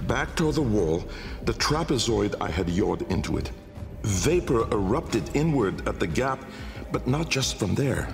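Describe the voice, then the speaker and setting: An actor playing an old, haunted, learned nobleman-scientist in a video game, delivering a grave, measured gothic monologue with a gravelly voice. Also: on the low side, heavily acted. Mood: brooding awe. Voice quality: gravelly, creaky. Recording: long cavernous reverb under music.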